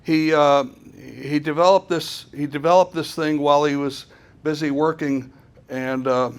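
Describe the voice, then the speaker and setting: A man presenting an informal technical talk at a conference, speaking haltingly and often repeating words as he goes. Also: fairly high pitch, fairly even intonation, clean tone.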